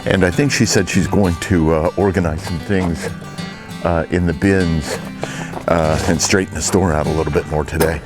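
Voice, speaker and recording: Low-voiced man chatting on a vlog. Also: steady tone, normal speed, music in the background. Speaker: online reseller and vlog host. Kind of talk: casual vlog chat.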